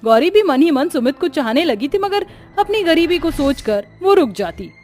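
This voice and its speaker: A woman's voice: high-pitched, very animated, normal speed, darkish tone, faint music behind. A woman narrator reading a scripted family story for a cartoon.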